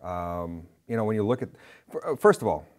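A man's voice: fairly low pitch, very animated, fairly quick. A man in his forties chatting casually as a guest, rambling and emphatic, often repeating himself. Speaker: guest on a film discussion show. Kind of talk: casual chat. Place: indoor studio stage with curtain. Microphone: lapel mic.

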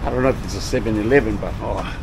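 A man's voice: lowish voice, rapid-fire, background noise. Upbeat man vlogging on a busy street. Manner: upbeat, chatty, calls people brother. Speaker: travel vlogger. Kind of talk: casual travel vlog chat. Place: busy city street and convenience store. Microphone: action camera held at arm's length.

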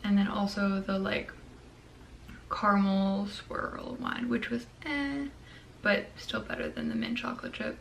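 A woman's voice: medium pitch, measured pace, gravelly. A young woman chatting casually to the camera in her bedroom, with a crackly voice, eating while she talks. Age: young adult. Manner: chatty, eating ice cream while talking. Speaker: vlogger. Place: small bedroom, indoors.

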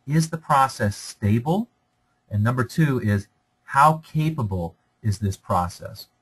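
A man's voice: mid-range voice, breathy edge, rough recording. A man lecturing over slides in a conversational, explanatory way, often checking in with "okay?".

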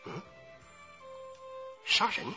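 An actor playing an anxious, self-serving company boss in a radio drama, hesitant and self-pitying, with sighs. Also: male voice, extremely slow, sharp tone, full character voice. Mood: uneasy. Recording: muffled, reverberant hall sound, faint music.

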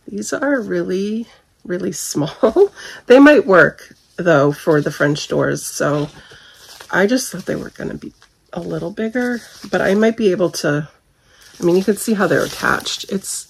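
A woman in her forties, losing her voice, chatting casually and candidly about her shopping haul from her bedroom, filmed by a camera at desk distance. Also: on the low side. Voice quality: gravelly, husky. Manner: chatty, candid, frequent ums and little laughs. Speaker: haul vlogger. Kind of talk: casual product review chat. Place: bedroom at home.

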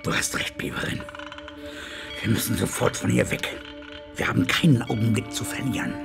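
A man playing an earnest, wary beaver in a German radio drama, dramatic and ominous, with a creaky voice. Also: medium pitch, fairly even intonation, normal speed. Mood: urgent. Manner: dramatic, ominous, swinging into hushed tones. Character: grave old talking beaver, earnest and wary. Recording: music under the voice.

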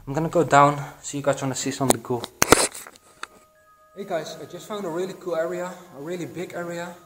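A young man with a Belgian accent narrating his exploration of an abandoned building to his vlog audience, excited and hushed, with many fillers, recorded on an action camera. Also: on the high side, a little fast, soft background music, low-quality recording. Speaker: urban explorer vlogger. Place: abandoned building, echoey halls and attic. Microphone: action camera, handheld or body-worn.

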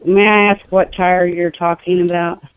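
A woman's voice: on the low side, flat delivery, moderate pace, clear, resonant tone, no echo. A middle-aged or older woman on the telephone, talking in a firm, questioning and incredulous way. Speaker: prank call target, grandmother. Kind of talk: phone conversation. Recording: tinny dry phone line.

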